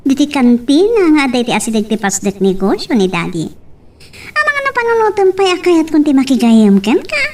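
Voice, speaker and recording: A woman's voice: high-pitched, big pitch swings, fairly quick, darkish tone, recorded from a distance. A woman playing a spoiled, pushy young rich daughter in an audio drama, gushing and whining as she nags. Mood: chatty, coaxing. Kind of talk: Ilocano radio-style drama acting.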